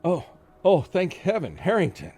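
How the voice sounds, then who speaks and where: An actor playing a tormented, fearful professor who narrates his own story in a radio play, with an anguished, halting delivery. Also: high-pitched, wildly swooping pitch, a little mellow, heavily acted. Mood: frantic. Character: tormented, fearful male professor under a deadly curse. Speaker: narrating lead in a radio drama. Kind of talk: dramatic first-person radio play narration. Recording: prominent music under the voice.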